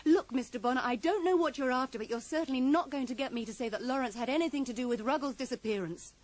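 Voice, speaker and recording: An actress in a radio drama playing a guarded, sharp-tongued woman writer who is curt, evasive and coolly defiant. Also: high-pitched, fast, lightly acted. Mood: indignant, scornful. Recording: noisy 1980s radio drama broadcast.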